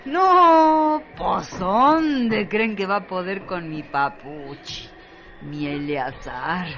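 A woman's voice: fairly low pitch, expressive, drawn-out words, fairly bright tone, exaggerated voice. An actress playing a doting, boastful Mexican woman who tells tales of her man in emphatic, colloquial radio drama style. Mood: fond boasting.

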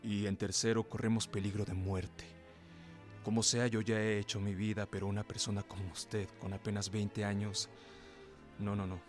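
An actor playing a resolute, courteous middle-aged revolutionary in a radio drama, speaking formally and firmly. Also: male voice, fairly low pitch, flat delivery, moderate pace, soft background music, lightly acted. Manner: formal, firm.

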